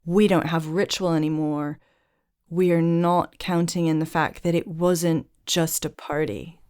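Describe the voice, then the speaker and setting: A woman hosting an interview in a low, resonant voice. She speaks earnestly and thoughtfully, stringing her ideas together in long, flowing runs. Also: fairly even intonation, measured pace, a little mellow, clean tone. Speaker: podcast host. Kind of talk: reflective conversational interview.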